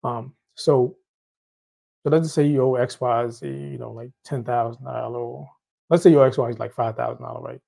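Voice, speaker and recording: A man with a Haitian accent talks through listener questions in an easygoing, rambling way. His voice is gravelly and dark, sitting at a middle pitch, and he often circles back to repeat a phrase. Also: fairly even intonation, a little fast. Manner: loose, repeats and restarts phrases. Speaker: host. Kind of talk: casual credit advice Q and A.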